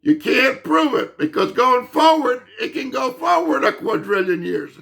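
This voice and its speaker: A man explaining physics in an unscripted monologue, insistent and emphatic, halting with fillers and restarts, and losing his voice. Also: extremely high pitch, very animated, vocal fry, bright, forceful tone. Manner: insistent, emphatic, repeats himself. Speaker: channel host explaining physics.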